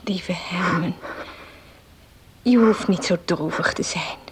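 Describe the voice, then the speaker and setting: An actress playing a gentle, devout, ailing wife in a period radio drama, speaking softly and solemnly with a frail, breathy voice. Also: female voice, on the low side, whispered. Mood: tender, reassuring. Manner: slow, soft, tender, solemn.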